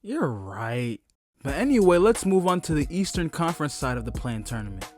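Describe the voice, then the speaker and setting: A man's voice: a little high, very animated, normal speed, soft background music. A man hosting a comedic sports recap, narrating in profane slang with a mock-dramatic streak. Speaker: sports recap video host. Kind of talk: scripted comedic sports commentary.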